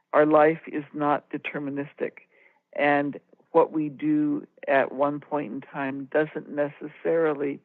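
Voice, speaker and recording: A woman co-host talks reflectively in a measured, halting manner, often pausing within a phrase. Her voice comes through dry and narrow, like a telephone line into a radio show. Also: sits low, dry recording. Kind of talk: reflective anecdotal talk radio discussion. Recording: tinny, narrow phone-like line.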